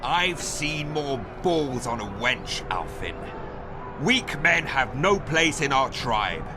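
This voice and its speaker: An actor playing a cruel, mocking warlord who rules a fighting pit in a video game, commanding and taunting. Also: male voice, medium pitch, sing-song, slow pace, background noise. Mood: scornful. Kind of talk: dramatic video game acting.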